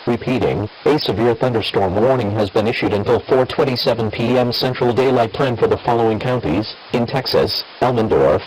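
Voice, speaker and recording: A man reading scripted emergency alerts as an announcer, in a formal, synthetic-sounding monotone. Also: mid-range voice, brisk, hardly any pauses. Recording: noisy radio broadcast with hiss.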